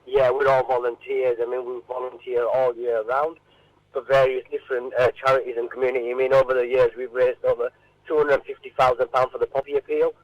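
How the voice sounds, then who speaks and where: A man answering informally in a radio interview, halting and often repeating his words. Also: mid-range voice, little pitch movement, no echo, dull audio.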